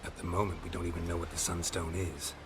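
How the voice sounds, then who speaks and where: An actor playing a gruff, grim male monster hunter who speaks in short, flat, gravelly lines in a game cutscene. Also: low voice, quick pace, background noise, natural voice. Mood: terse. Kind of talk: video game cutscene acting.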